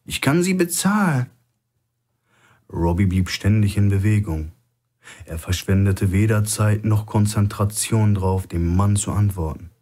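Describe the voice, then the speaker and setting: A man's voice: deep voice, fairly even intonation, measured pace, dark tone. A man reading a scripted thriller as an audiobook narrator.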